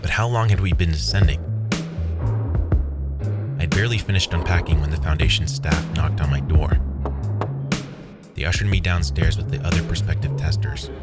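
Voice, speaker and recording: A man with a very low voice reads a scripted first-person horror story. He narrates as the central character, with loud music playing underneath him throughout. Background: loud background music.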